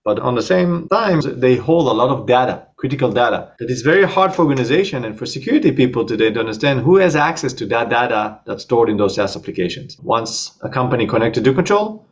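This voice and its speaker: A man's voice: medium pitch, expressive, fairly quick, few pauses. Israeli man on a dull video call. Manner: fluent, with frequent uh fillers. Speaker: startup co-founder guest. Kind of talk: casual interview talk.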